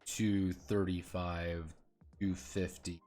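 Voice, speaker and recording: Man, lowish voice, casual, thinking aloud, mumbling numbers, humming, soft music in the background. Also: level tone, takes it slowly. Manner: muttering and humming while working out numbers. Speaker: streamer designing a tabletop game.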